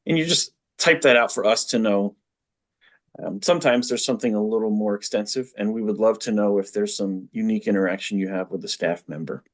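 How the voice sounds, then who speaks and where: Guy with a lowish voice walking people through an online tool step by step, like a training webinar. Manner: methodical step-by-step explaining. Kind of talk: explanatory software walkthrough.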